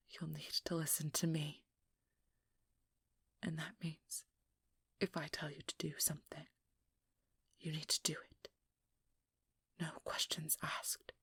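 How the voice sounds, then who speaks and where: A very breathy, husky female vampire hunter, played by a woman, speaking slowly and close to the mic in a dry space. She is guarded and determined, stern yet protective, in a hushed ASMR role-play. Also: sits low, flat delivery, no echo, exaggerated voice. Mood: firm, protective. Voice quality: breathy, hushed, often whispering. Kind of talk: ASMR role-play, intimate dramatic monologue. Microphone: close microphone in a dry room.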